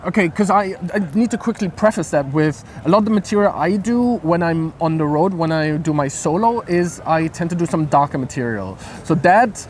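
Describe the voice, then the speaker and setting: A man's voice: on the high side, lively intonation, normal speed, few pauses, darkish tone. A man from East Berlin, chatting casually and jokingly as a comedian being interviewed. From East Berlin, Germany. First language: German. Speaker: stand-up comedian, guest. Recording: clear outdoor city traffic noise.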